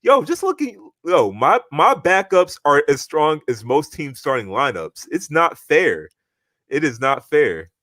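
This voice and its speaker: Boastful young man with a lively voice. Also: fairly high pitch, measured pace, no echo, clean studio sound. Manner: boastful trash talk, repeats himself. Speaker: podcast co-host. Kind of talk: casual chat.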